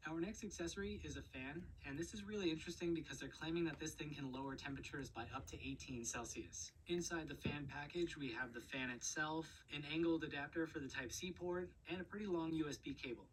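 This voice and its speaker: A man delivering a brisk, scripted product walkthrough of a gadget. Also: a little high, slight background noise, rough recording. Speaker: tech reviewer.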